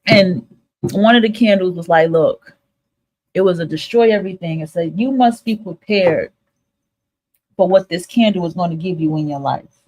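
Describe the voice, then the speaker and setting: A woman's voice: on the low side, moderate pace, darkish tone, poor audio. Candid, emphatic woman streamer. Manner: candid, emphatic, repeats phrases, swears freely. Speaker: host of a spiritual advice livestream. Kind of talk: casual, informal motivational monologue to listeners.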